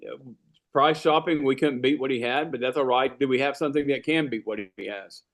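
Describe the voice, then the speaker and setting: A man's voice: mid-range voice, brisk, crowd noise. A man leading an insurance team's training call, talking loosely with many fillers and restarts. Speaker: insurance agency leader running a team training call. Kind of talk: casual team meeting talk.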